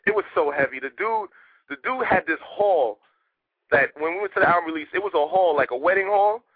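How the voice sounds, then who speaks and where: A man from Haiti, a rapper guest talking casually in a radio interview, animated and streetwise. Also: high voice, slightly rough, no echo. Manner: animated, streetwise, rapid talk with repeated "you know what I mean". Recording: tinny phone line, dry.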